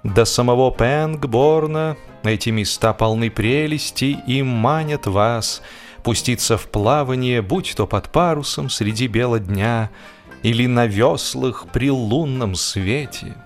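A man reads a humorous literary narration with expressive, wryly ironic storytelling, as in an audiobook. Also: medium pitch, a little slow. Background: music.

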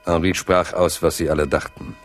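A man narrating a historical radio play as a grave storyteller, in a solemn, measured, literary manner. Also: sits low, level tone, soft background music, close to an everyday voice. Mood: grave, suspenseful. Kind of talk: dramatic scripted narration for German radio play.

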